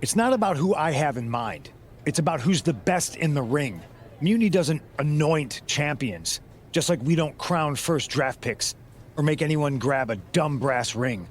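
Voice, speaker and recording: A man's voice: on the high side, expressive, unhurried. A man voicing a calculating wrestler who leads a rebel faction, firm and persuasive in video game cutscene dialogue. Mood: firm, reproving.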